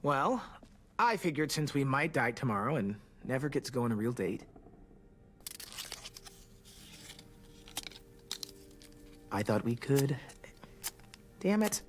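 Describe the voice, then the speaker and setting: A man's voice: medium pitch, big pitch swings, moderate pace, a little hiss or hum, lightly acted. An American actor playing a charming, self-deprecating teenage boy in a video game, with a wry, hesitant, conversational delivery. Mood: nervous. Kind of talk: naturalistic video game voice acting. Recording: music behind the voice.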